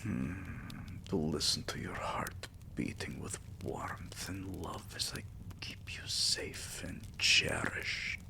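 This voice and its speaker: A man playing a tender, possessive vampire king in an intimate ASMR roleplay, murmuring soothingly and affectionately in a breathy, gravelly half-whisper. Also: deep voice, slow pace, pushed and bright, full character voice. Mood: tender, adoring.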